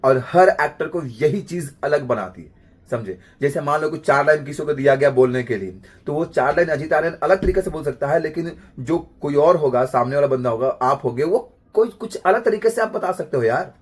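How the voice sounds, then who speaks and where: A man with a slightly husky voice, giving an informal acting lesson in an emphatic way, repeating phrases and checking in with rhetorical questions. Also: on the high side, expressive, fairly quick. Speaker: acting coach.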